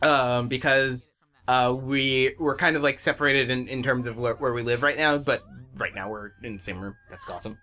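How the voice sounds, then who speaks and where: A man chatting casually with friends on a commentary track, in an excitable, jokey, giggly manner. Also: mid-range voice, moderate pace. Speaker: series creator and editor on a commentary track.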